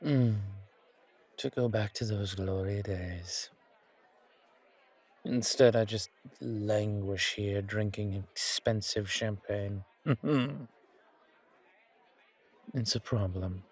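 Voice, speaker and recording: Man playing a slow, husky, ancient vampire. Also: fairly low pitch, steady tone, a faint crowd. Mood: wistful, indulgent. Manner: languid, theatrical, genteel. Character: centuries-old suave male vampire, languid, hedonistic, quietly menacing. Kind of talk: ASMR horror roleplay acting.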